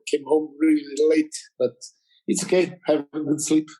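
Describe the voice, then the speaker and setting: Croatian-accented man, gravelly and halting. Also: on the high side, level tone, dark tone, rough recording. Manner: halting, many fillers and restarts. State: tired. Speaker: guest coach. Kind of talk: casual conversation. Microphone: video call.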